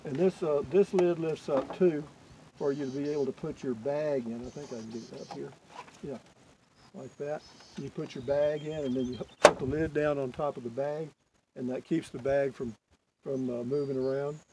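A man's voice: mid-range voice, fairly even intonation, normal speed. An older man casually demonstrating camping gear beside his truck outdoors, rambling and folksy, correcting himself as he goes. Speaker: vlogger reviewing gear. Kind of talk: casual product demo. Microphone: camera close up on tailgate. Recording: outdoor recording with clear background noise.

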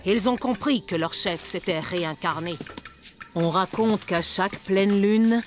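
An actress playing a solemn woman judge of a forest tribe in a cartoon, telling tales in a deadpan manner. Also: mid-range voice, measured pace, close to an everyday voice. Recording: music behind the voice.

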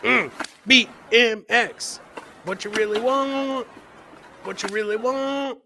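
A man's voice: very high-pitched, slow, gravelly, noisy background. A middle-aged man doing casual, jokey live commentary on video clips as a comedian host, often repeating his catchphrases. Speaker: comedian hosting a livestream clip show.

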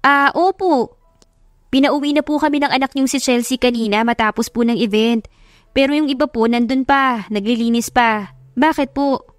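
A woman's voice: sits high, moderate pace, no echo, clean studio sound, soft background music, pitched above the performer's own voice, lightly acted. A woman voicing an eager, respectful and easily flustered young working woman in an audio drama, with a polite, bubbly manner. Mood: polite, curious. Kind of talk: Tagalog audio drama acting.

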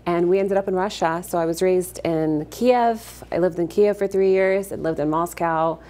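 A woman in her thirties from Afghanistan, a guest actress in a morning TV studio interview, speaking earnestly and fluently with soft fillers on a lapel mic. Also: on the low side, moderate pace. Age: 30s. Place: TV studio set, indoors.

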